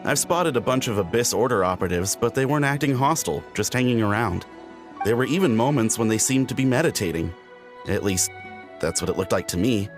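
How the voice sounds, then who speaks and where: A man's voice: on the low side, animated, a little fast, natural voice. A man playing an easygoing young warrior on guard in a video game, with a breezy, confident, casual manner. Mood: relaxed. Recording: music behind the voice.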